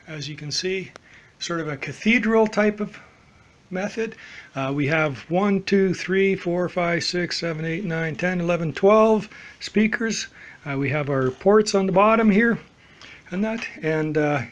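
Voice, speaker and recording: A man's voice: sits high, no room sound. A man giving a casual, explanatory home demonstration in an informal, step-by-step and somewhat hesitant way. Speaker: DIY audio builder presenting a project.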